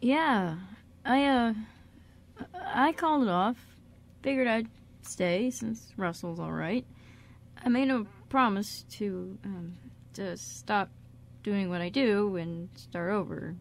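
A woman playing an easygoing, bashful man with a halting, soft-hearted manner in an amateur radio drama. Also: medium pitch, gravelly. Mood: sheepish, warm. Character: Quinn, easygoing, bashful man with a shady past. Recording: noticeable background noise.

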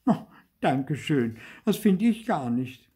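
High, whiny, cheeky little puppet boy. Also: sing-song, slow, warm tone, husky, exaggerated voice. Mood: cheeky protest. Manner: whining, protesting, theatrical. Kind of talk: dramatised children's storybook reading.

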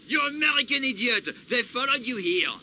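High-voiced French courier. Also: male voice, expressive, fairly quick, sharp tone, slight background noise, full character voice. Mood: indignant. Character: nervy French courier, snooty and secretive. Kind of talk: video game cutscene acting. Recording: clear game ambience behind.

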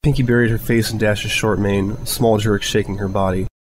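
A man's voice: on the low side, steady tone, normal speed, a little hiss or hum, low-quality recording. A man narrating a scripted piece of fan fiction as a storyteller.

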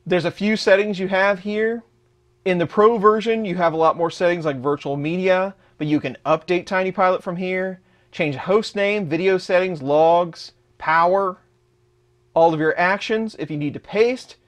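A man in his thirties, his voice sitting a little on the high side, walks through a software setup one step at a time. He talks the way a home tech host does, steady and explanatory, recorded in his workshop. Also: moderate pace. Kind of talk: screen-recorded tutorial walkthrough.

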